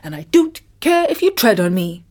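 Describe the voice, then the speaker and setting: A woman's voice: mid-range voice, big pitch swings, normal speed, darkish tone. A woman voicing a small, sleepy, boastful tortoise in an animal fable, taunting and unbothered. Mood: cheeky, unbothered.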